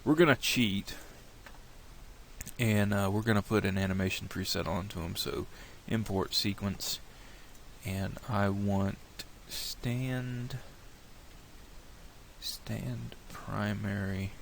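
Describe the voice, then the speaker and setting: A very low, gravelly male voice, a little nasal from a cold, talking through each step of a software tutorial. He rambles and restarts as he thinks aloud. A faint hiss of noise sits under the desktop mic. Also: fairly even intonation, takes it slowly. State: has a cold, slightly nasal. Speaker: tutorial creator.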